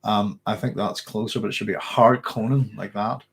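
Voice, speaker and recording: A man's voice: lowish voice, fairly even intonation, normal speed, vocal fry, slightly rough. A man with a Northern Irish accent, hosting a casual live stream, chatting and reading comments, his throat tickly with a cough. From Northern Ireland. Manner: rambling, chatty, frequent fillers and restarts.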